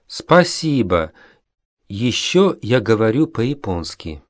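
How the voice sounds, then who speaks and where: Polite young Tsubasa, slowly. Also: male voice, lowish voice, big pitch swings, no room sound, studio quality. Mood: plain. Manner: carefully enunciated, deliberate. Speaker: dialogue reader in a language course. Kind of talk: slow, clear textbook dialogue reading.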